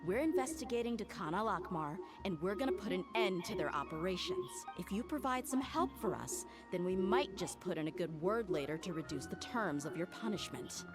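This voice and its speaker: Casting: actress for blunt, fiery female game mercenary, low register, music bed. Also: lively intonation, a little fast, hardly any pauses, close to an everyday voice. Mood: threatening. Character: tough, blunt, hot-tempered female desert mercenary. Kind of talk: video game voice acting.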